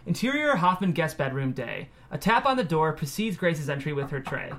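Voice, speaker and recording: A man's voice: fairly high pitch, big pitch swings, moderate pace, a bit bright. A man narrating scene headings and stage directions from a script at a table read.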